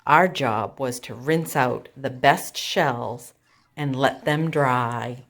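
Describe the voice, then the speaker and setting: Slow, low-voiced woman in an echoey room. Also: a bit bright. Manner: simple, deliberate phrasing for young children. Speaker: children's storytime host and craft teacher. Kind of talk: picture-book read-aloud and craft demonstration for young children. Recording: roomy echo with faint background noise.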